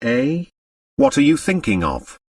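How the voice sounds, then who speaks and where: Man reading as an uneasy, curious Russian accomplice, expressive pitch. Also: unhurried, no room sound, far from the mic, full character voice. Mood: curious, wary. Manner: questioning, uneasy.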